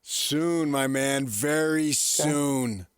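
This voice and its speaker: A middle-aged man co-hosting a sports radio show, telling stories casually in a chatty, animated way. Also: a little high, extremely slow, clear, resonant tone, no room sound.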